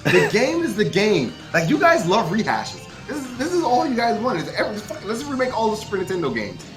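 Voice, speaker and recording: A man argues on a casual gaming panel in a somewhat high-pitched voice. He is blunt and opinionated, repeats his points and swears freely. Music is clearly heard behind him. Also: huge pitch swings, brisk, low-quality recording. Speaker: podcast panelist.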